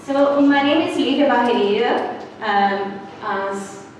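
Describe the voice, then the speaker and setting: A woman's voice: fairly high pitch, slow, slight background noise. A woman in her thirties from Brazil, a native Portuguese speaker with a Brazilian accent, giving a live talk with fillers and frequent little laughs. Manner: hesitant, giggly, many fillers. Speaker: guest speaker, journalist. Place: live event venue indoors. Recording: echoey hall, distant mic.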